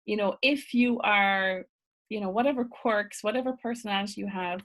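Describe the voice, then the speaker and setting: A woman's voice: mid-range voice, normal speed, a bit bright, poor audio. A woman with an Irish accent leading a live online business masterclass, chatty, laughing often and reading out viewer comments.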